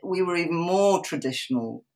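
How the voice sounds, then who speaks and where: A woman with an English accent speaking reflectively about her own life as an interview guest, haltingly, repeating words as she thinks. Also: on the low side, lively intonation, darkish tone. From England, in a Polish family. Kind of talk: reflective personal conversation.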